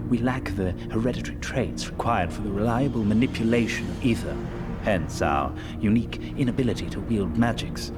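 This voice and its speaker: An actor playing a proud, coldly superior imperial scientist in a video game, lecturing precisely and pompously over prominent music. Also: male voice, lowish voice, lightly acted. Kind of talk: dramatic video game exposition.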